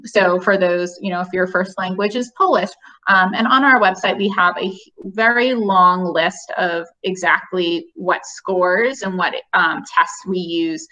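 A woman's voice: fairly low pitch, bright, forceful tone, low-quality recording. A young woman from a college admissions office answering webinar questions in a friendly, explanatory way with many fillers, over a video call. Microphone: video call webcam.

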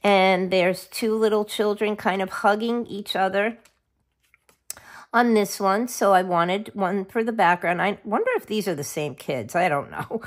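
A middle-aged woman with a scratchy voice from a cold, chatting casually while she shows a craft project. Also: medium pitch. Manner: chatty, self-interrupting, forgets names of supplies. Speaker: craft video host.

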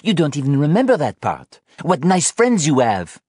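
Male reader as worn-out angry mum, audiobook drama, raised pitch, animated, accusing. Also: moderate pace, dry recording, studio quality. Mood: bitter, accusing. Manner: accusatory, exasperated. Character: exhausted, angry mother scolding her wayward teenage son. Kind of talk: audiobook character dialogue.

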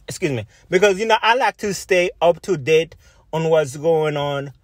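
A man's voice: a little high, unhurried, no room sound, studio quality. A man from Haiti, a video blogger giving rambling, informal commentary and often repeating himself. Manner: rambling, repetitive, filler-heavy.

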